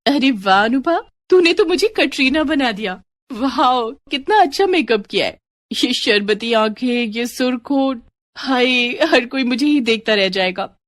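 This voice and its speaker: Woman doing college girl, excitable, dramatic, gushing, story voice, dry sound. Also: sits high, measured pace, no room sound, voice pushed up. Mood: delighted.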